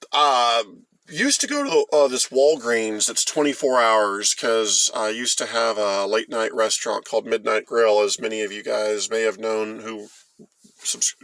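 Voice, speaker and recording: A middle-aged American man tells a rambling personal story in a casual, folksy way. His delivery is halting and conversational, at an ordinary male pitch. The sound is dry, with very little room in it. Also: fairly bright tone, dry recording. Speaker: host of a storytime vlog.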